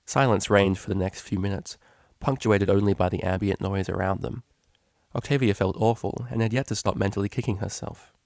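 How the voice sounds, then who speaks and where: A man's voice: fairly low pitch, steady tone, fairly quick, vocal fry, a little mellow, dry recording, clean studio sound. A man narrating a story as a reader, in scripted storybook style. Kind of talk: narrated fan fiction reading.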